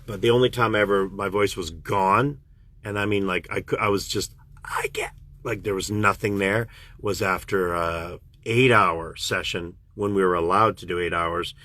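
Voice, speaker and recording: A man from Canada, a voice actor, chatting casually with fans on a livestream and answering their questions. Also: dry recording. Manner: chatty and animated, jumps up in pitch when excited. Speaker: voice actor hosting a fan livestream. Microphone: phone livestream.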